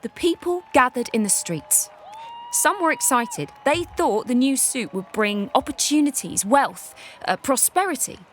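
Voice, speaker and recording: A woman plays a cheeky storyteller with a higher-pitched voice. She narrates a satirical tale in a wry, chatty way, with faint noise behind her. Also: lively intonation, unhurried. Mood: storytelling. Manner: wry, informal. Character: cheeky, reluctant female storyteller of an unfairytale. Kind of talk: satirical storytelling, conversational asides.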